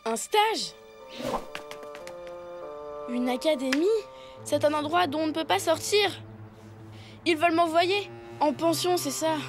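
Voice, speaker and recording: A young elephant schoolboy in a cartoon. The voice is high and plaintive, that of a troublemaker pleading his case, with music behind. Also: slow pace, pauses often. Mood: protesting. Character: mischievous young elephant schoolboy, self-justifying and gloomy. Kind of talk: French cartoon dub acting.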